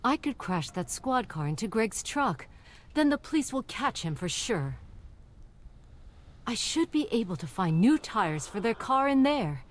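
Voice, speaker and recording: A woman playing a brisk, determined aunt who works as a detective in a game cutscene. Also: a little high, sing-song. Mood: urgent, resolute.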